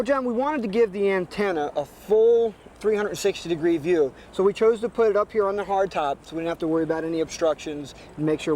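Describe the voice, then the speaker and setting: High-voiced man explaining a boat install. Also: a little mellow, slight background noise. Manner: practical, step-by-step explaining. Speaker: boat rigger. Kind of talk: how-to demonstration.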